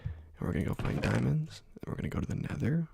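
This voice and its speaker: A man hosting an ASMR gameplay video, talking softly into a close microphone, rambling with many fillers and slipping into whispers. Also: medium pitch, sing-song, fast talker, vocal fry, breathy edge, no echo. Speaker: ASMR gaming channel host. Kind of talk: soft-spoken ASMR gameplay commentary.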